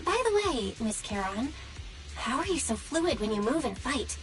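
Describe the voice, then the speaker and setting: High, courteous young guide. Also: female voice, sing-song, normal speed. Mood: bright, curious. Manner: polite, explanatory, reassuring. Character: courteous young female guide, helpful and encouraging. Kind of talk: video game voice acting. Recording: loud music over game dialogue.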